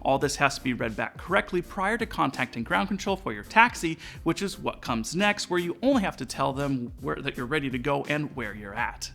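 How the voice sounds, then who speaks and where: A man's voice: medium pitch, sing-song, fairly quick, creaky, few pauses, sharp tone. A man, giving a scripted, instructive explainer as a presenter. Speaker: presenter of flight tutorial. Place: small indoor studio set. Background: background music.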